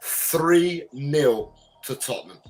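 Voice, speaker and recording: Emphatic, higher-voiced male host. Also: slow, rough recording. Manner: emphatic, repeats points. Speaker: podcast host. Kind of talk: casual podcast chat.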